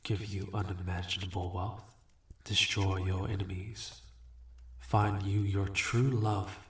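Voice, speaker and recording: A man playing a suave, amused demon in a fantasy audio roleplay, condescending and teasing with unhurried courtesy. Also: low-pitched, little pitch movement, a little hiss or hum. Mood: tempting, amused. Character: suave, amused, bargaining male demon, ageless and smug. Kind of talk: scripted fantasy audio roleplay addressed to listener. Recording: roomy echo, distant from mic.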